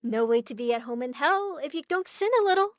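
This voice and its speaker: A woman playing a gentle, effeminate young actor in a radio play, playful and mock-deferential. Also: high-pitched, lively intonation, fast, no room sound, studio quality. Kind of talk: naturalistic radio play acting.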